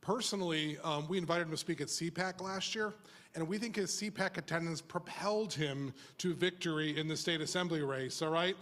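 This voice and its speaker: A man with a fairly high voice moderates a panel, introducing speakers informally. His voice rings through a hall with long reverb. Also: a little fast, fairly bright tone. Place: large indoor conference session room.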